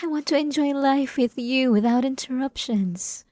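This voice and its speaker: A woman playing an obsessive, possessive wife in an intimate ASMR roleplay, speaking in a halting, repetitive, coaxing and manipulative way. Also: high-pitched, a little mellow, dry recording, clean studio sound, natural voice. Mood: weary, sweet. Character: obsessive, possessive, delusional adult wife, sweetly menacing.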